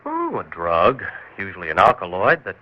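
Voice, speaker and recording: An actor playing a curious male writer who narrates an old radio drama in a calm, thoughtful way. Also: low-pitched, normal speed. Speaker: narrator and lead character, a writer. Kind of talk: 1960s radio drama acting. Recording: dull old radio recording.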